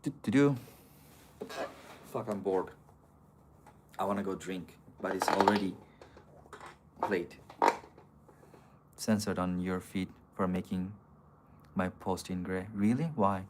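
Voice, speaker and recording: A man's voice: low-pitched, slow pace, pauses often, heavy background noise. A man on a casual live stream, answering viewer comments in a blunt, profane, argumentative way. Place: city streets outdoors.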